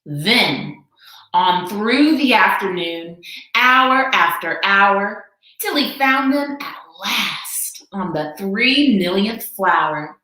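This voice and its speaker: A woman reading a children's storybook aloud in a dramatic, expressive, emphatic way. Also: medium pitch, slow pace. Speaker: children's librarian. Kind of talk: animated storybook read-aloud. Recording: very echoey room.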